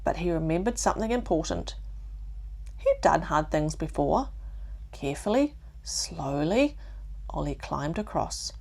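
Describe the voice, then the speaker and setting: A woman with a New Zealand accent narrating a calm bedtime story for children, gently and with deliberate pauses. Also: sits low, vocal fry.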